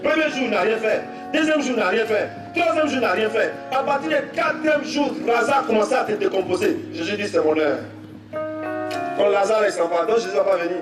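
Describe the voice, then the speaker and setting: High-voiced Ivorian preacher in an echoey hall. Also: male voice, brisk. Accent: Ivorian French. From Côte d'Ivoire. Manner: storytelling, repeats phrases, rises to shouts. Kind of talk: sermon testimony. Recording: echoey, from far off, faint music.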